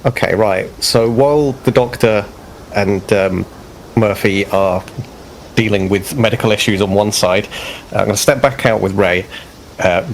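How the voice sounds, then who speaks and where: A man's voice: lowish voice, unhurried, slight background noise, low-quality recording. A man taking part as a player in a tabletop game, thinking aloud and asking questions. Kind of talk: casual tabletop play.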